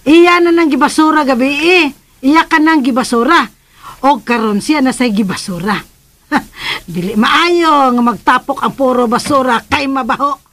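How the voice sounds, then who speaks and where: High-voiced Filipino mother, middle-aged, nagging, gossipy, sardonic, radio drama. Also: a little slow, a bit bright. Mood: scolding. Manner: scolding, sardonic. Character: nagging, sharp-tongued, gossipy mother of a grown son.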